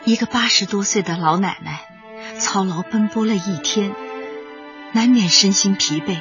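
A woman's voice: mid-range voice, a little slow. A woman giving solemn, admiring, lyrical scripted narration as a drama narrator. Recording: slightly muffled, music behind.

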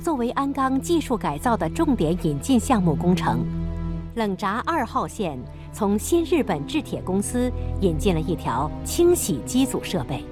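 A woman's voice: mid-range voice, big pitch swings, moderate pace. A woman narrating a radio drama in a formal, earnest storytelling manner. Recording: music behind the voice.

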